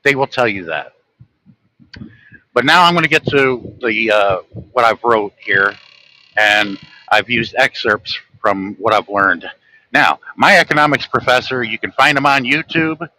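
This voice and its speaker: A man's voice: mid-range voice, unhurried, sharp tone, rough recording. A man from the United States hosting a livestream, talking earnestly to his viewers as he reads from his own notes. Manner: earnest, lecturing, addresses viewers directly. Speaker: host of a livestream channel. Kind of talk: livestream monologue, reading from notes.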